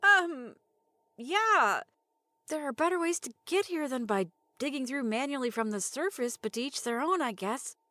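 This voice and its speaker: A woman playing a wry, expressive semi-retired radio storyteller from an underground city in an audio drama. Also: sits high, normal speed, dry recording. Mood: wry, puzzled.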